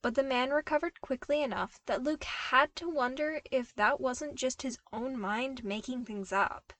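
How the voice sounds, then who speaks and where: A woman's voice: sits high, unhurried, fairly bright tone. Woman narrating a story. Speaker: podfic narrator.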